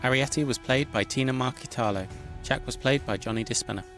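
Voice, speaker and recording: A man's voice: mid-range voice, a little fast, a bit bright, faint music behind. A man reading out scripted end credits as an announcer for an audio drama.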